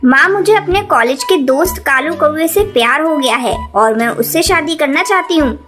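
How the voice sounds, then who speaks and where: A woman's voice: high voice, expressive, normal speed. A woman voicing a boastful, greedy young female bird in a cartoon, disdainful in manner. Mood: defiant. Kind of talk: Hindi cartoon voice acting. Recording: music behind the voice.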